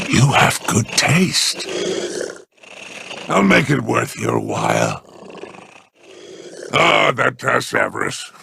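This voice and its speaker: An actor playing a sly, scheming male merchant in a video game, talking in a gravelly, silky, menacing salesman's drawl. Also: mid-range voice, a bit bright, a little hiss or hum, exaggerated voice. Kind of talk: video game character lines.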